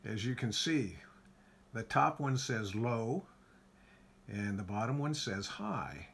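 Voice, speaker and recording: Relaxed man explaining his radio setup. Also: on the low side, takes it slowly, creaky. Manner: relaxed, folksy, frequent "uh" fillers. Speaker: ham radio operator demonstrating his station. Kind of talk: casual how-to walkthrough. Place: home radio shack by a window.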